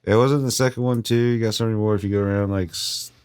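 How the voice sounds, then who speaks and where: A man's voice: on the low side, steady tone, fast talker, a little mellow, smooth. A man co-hosts a casual gaming podcast. He keeps it laid-back and terse, with loose, sweary phrasing. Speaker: podcast co-host. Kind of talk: casual video game chat.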